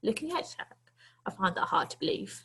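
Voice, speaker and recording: A woman's voice: brisk, pushed and bright, rough recording. A woman teacher reading a children's story aloud to pupils, expressively and with dramatic, emphatic phrasing.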